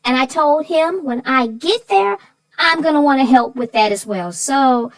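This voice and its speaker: A woman voicing a sassy, feisty female co-host in chatty banter, with a folksy, emphatic, drawn-out way of telling things. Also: high-pitched, expressive, low-quality recording. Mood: indignant, scheming. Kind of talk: scripted chatty banter about a children's book.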